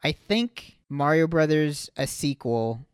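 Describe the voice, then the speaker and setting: A young man hosting a casual podcast, reading listener questions in a relaxed, teasing way. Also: a little high, slow, clean tone, no echo, clean studio sound. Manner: laid-back, teasing, sets rules.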